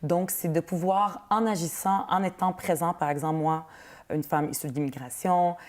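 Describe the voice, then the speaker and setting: A woman in her thirties, from Palestine with Arabic as her first language, speaks with a Quebec French accent as an interview guest, earnest and quick to laugh. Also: fairly low pitch, steady tone, a little mellow. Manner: earnest, laughs easily, repeats words. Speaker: politician, guest. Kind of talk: interview answers.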